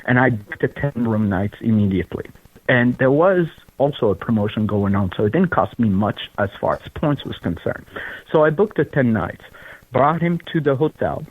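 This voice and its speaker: A middle-aged man with a French accent, from Belgium, telling his story in an interview with earnest, unhurried pacing. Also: medium pitch, animated, breathy edge, dry recording. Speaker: guest, charity founder. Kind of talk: interview conversation. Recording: tinny phone-like line.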